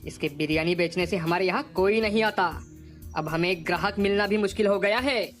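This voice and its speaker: A woman's voice: mid-range voice, moderate pace, soft background music, voice pushed down, close to an everyday voice. A woman voicing a jealous, scheming male hotel owner with a bossy, threatening manner in a story. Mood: angry, scheming.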